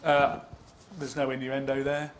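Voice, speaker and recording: A man's voice: mid-range voice, completely flat delivery, unhurried, background noise. A man talking hesitantly on a video call, with many "uh"s and some self-mocking asides. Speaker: event participant who sketched. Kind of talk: casual chat on a video call.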